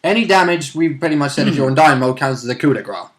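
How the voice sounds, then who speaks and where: Male tabletop player, mid-pitched, informal, rambling through rules and play in casual chat. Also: animated, fast talker. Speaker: player in a tabletop role-playing game.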